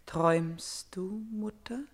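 A woman's voice: fairly low pitch, slow. An actress playing a guarded, probing young daughter of a queen in a theatrical radio play, speaking in terse questions.

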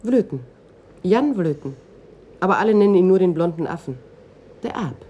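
An actress playing a reserved, bereaved daughter of almost thirty in a German radio crime drama, speaking in short, matter-of-fact sentences. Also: female voice, on the low side, expressive, moderate pace, dark tone, slightly rough. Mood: evasive, calm. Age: young adult.

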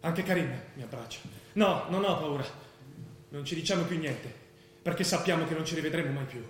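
Actor as nervous young believer, high, echoing. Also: male voice, a little fast, close to an everyday voice. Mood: resolute, subdued. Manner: short declarative present-tense phrases. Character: devout, nervous young male would-be suicide bomber. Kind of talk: first-person stage monologue in radio drama. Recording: roomy stage recording with faint noise.

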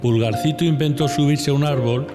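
A man's voice: on the high side, steady tone, brisk. A man narrating a children's fairy tale from a script. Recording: long reverb, music underneath.

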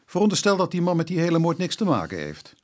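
A weary Dutch police inspector in a radio play. He is cautious and authoritative, with strongly moving intonation and a slightly raised pitch. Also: huge pitch swings, fast. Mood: firm, cautious. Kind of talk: Dutch radio drama acting.

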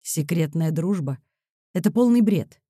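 A woman voicing a teasing, relaxed sporty man of thirty-four in an audiobook, low, unhurried and matter-of-fact. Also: slightly rough, no echo, studio quality. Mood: dry teasing.

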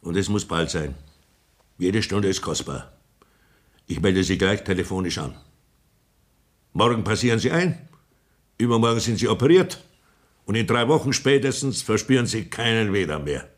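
A man's voice: on the low side, animated, normal speed, long pauses. A man playing a pompous old country doctor who lectures theatrically in a 1960s radio play. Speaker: Sanitätsrat.

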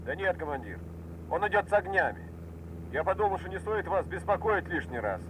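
A man's voice: sits high, very animated, brisk, a bit bright, a little hiss or hum, rough recording. An actor playing a chatty, slightly impudent but obedient airman in a bomber crew, in a radio war drama. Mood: eager, cheeky. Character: cheeky, eager male bomber gunner, deferential airman. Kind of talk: Soviet radio drama acting.

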